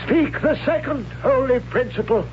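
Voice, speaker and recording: An actor playing a stern high priest and father in a 1970s radio drama, reciting solemnly and commandingly. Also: normal speed, lo-fi sound, a little hiss or hum. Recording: band-limited old radio broadcast, music bed.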